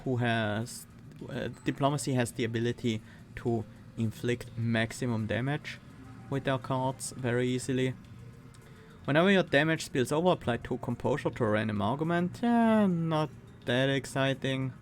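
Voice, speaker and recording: A man with a Swiss accent, streaming a card game and thinking aloud, reading cards and weighing choices with pauses and fillers. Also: mid-range voice, faint music behind. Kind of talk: casual gameplay commentary.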